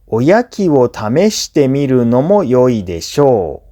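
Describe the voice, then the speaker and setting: A man who is a native Japanese speaker, reading scripted listening practice for learners in a clear, simple explanatory way. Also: mid-range voice, big pitch swings, slow, dark tone. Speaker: language teacher and narrator.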